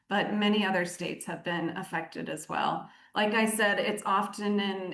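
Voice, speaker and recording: A woman giving measured, articulate explanations as an aid director interviewed on the radio. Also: on the low side, fairly bright tone. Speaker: aid organisation country director, interview guest. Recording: roomy, echoing sound.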